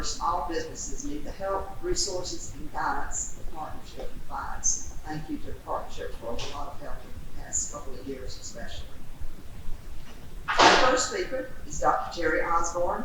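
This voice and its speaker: Loud friendly woman in an echoey hall. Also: fairly low pitch. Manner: loud, friendly, lightly rambling. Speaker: event host, local business owner. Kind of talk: welcoming remarks at a community business event. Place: new school auditorium. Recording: echoey auditorium from far off, noisy.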